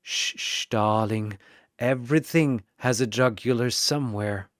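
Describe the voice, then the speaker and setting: Man doing a slow, suave, sly vampire rogue. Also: medium pitch, no room sound, clean studio sound, close to an everyday voice. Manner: hushed murmur, purring.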